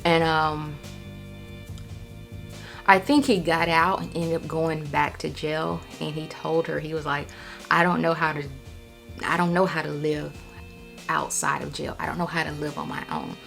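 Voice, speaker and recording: Lady doing a chatty vlog, bit nervous, lowish gravelly voice, keeps repeating herself, thoughtful and halting. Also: level tone, fairly bright tone, faint music behind. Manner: halting, repeats phrases for emphasis. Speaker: vlogger, writer and storyteller. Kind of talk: casual, reflective vlog talk.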